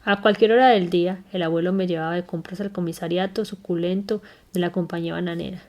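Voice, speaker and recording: Woman reader, lowish voice, measured memoir reading with occasional self-corrections. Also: steady tone, darkish tone. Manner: unhurried, careful reading with small slips and self-corrections.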